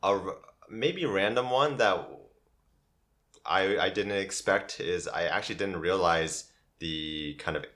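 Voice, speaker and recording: Very deep-voiced man speaking hesitantly. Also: measured pace, a bit bright. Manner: hesitant, many "uh" and "like" fillers. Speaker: guest. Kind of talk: casual podcast conversation.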